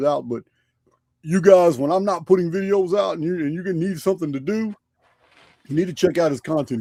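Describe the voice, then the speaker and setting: A man's voice: medium pitch, mellow, no room sound, clean studio sound. A man hosting a live online show, chatting casually and often restarting his sentences. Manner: rambling, repeats and restarts phrases. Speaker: podcast host. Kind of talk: casual live-stream chat. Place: home studio.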